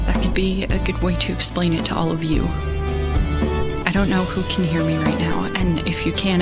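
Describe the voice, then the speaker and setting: A shaken young starship captain, speaks over prominent music in a slightly low voice. She is stubborn and a little snappish, picking her way through a halting, earnest confession in audio drama style. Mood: shaken, hesitant. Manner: halting, earnest, self-interrupting. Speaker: lead character recounting events in a broadcast. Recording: music bed under the voice.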